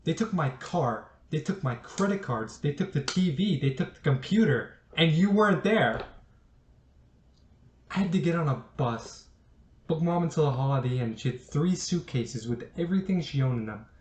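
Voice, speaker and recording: A man's voice: fairly high pitch, lively intonation, moderate pace, a little hiss or hum, natural voice. A young man playing a bitter, accusing college-age son in a student drama, speaking in a confrontational, emphatic way. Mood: bitter, indignant. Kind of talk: student stage drama acting. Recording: roomy, echoing sound.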